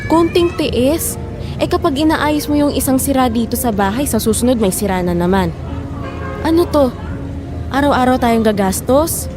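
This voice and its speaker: A woman's voice: sits high, lively intonation, moderate pace, music in the background. A woman playing a weary, frustrated wife who worries over household money in a Filipino audio drama. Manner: complaining, pleading, emotive.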